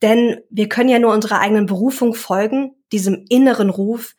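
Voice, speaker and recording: A woman's voice: on the high side, a little fast, a little mellow, slightly rough. Reflective young woman in an interview. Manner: reflective, searching for words. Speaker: guest, self-employed coach. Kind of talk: casual interview talk.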